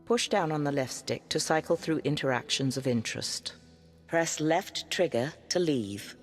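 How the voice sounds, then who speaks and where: An actor voicing a game narrator who gives scripted instructions. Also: male voice, on the high side, animated, moderate pace, soft background music. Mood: instructive. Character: male game narrator giving button instructions.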